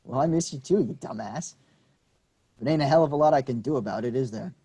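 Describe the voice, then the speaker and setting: A man playing a husky hot-headed young cousin. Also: medium pitch, a little fast, dark tone, close to an everyday voice. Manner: combative, swearing, pushy. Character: young man, quick-tempered city cousin. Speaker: actor in a staged reading.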